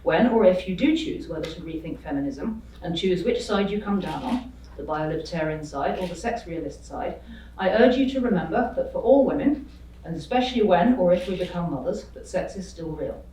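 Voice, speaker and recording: A woman's voice: lowish voice, expressive, fairly quick, mellow, distant mic. Woman reading out a fired-up speech, sounds set back from the mic in a big echoey lecture hall. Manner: earnest, urging, declamatory. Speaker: panelist. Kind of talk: prepared speech.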